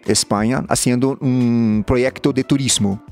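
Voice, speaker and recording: A man's voice: medium pitch, fairly even intonation, unhurried, darkish tone, soft background music. A Brazilian man who speaks Portuguese natively, giving interview answers in a second language. He talks with passion but often stops to search for words. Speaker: activist guest.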